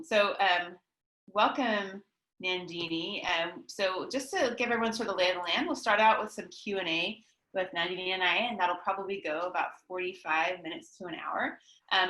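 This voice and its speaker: A woman's voice: lowish voice, sharp tone. A woman moderating an online academic interview session, introducing a guest in a chatty way with frequent ums. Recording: echoey room.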